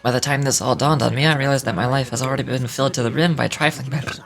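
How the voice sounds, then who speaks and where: A man's voice: medium pitch, steady tone, quick pace, vocal fry, fairly bright tone, faint music behind. Plainspoken, weary working family man. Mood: glum, hesitant. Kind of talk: scripted game character dialogue.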